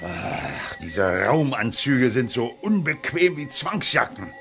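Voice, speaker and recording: An actor playing an impatient, grumbling general in a children's sci-fi radio drama, with an emphatic, commanding delivery. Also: male voice, mid-range voice, big pitch swings, slow pace, vocal fry, sharp tone, soft background music, exaggerated voice. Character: impatient, blustery male general, bold and grumbling.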